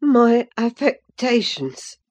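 A woman's voice: a little high, sing-song, takes it slowly, pitched above the performer's own voice, heavily acted. A woman doing a sobbing, terrified sickly boy. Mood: abject. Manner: sobbing, begging, trembling. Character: sickly frightened teenage boy, weak and pleading.

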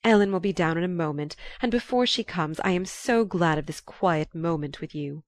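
A woman voicing an effusive, theatrical older marchioness in an audiobook, with a sighing, drawn-out, confiding delivery. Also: on the low side, dry recording, lightly acted. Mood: gushing.

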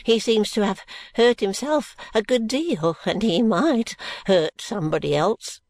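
A man voicing a feeble, grasping old grandfather in an audiobook, wheedling and drawn-out with muttered spite. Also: high voice, a little mellow, no echo, clean studio sound, pitched above the performer's own voice. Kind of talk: audiobook character voice.

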